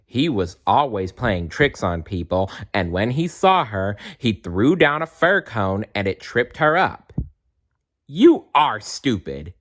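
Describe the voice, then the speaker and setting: A man reads a children's storybook aloud at a very slow, unhurried pace. His slightly low voice moves up and down in an animated way. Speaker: storybook reader.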